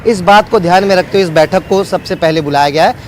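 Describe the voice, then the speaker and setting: A man's voice: high voice, fast, a little hiss or hum. A man giving a wordy, unscripted live news report as a field reporter, often repeating set phrases.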